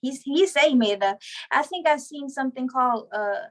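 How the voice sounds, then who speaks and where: A woman's voice: high voice, brisk. A young woman talking in a casual interview, chatty and slangy with lots of "like". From Trinidadian background. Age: young adult. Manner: chatty, slangy, full of "like" and laughs. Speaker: guest, show creator.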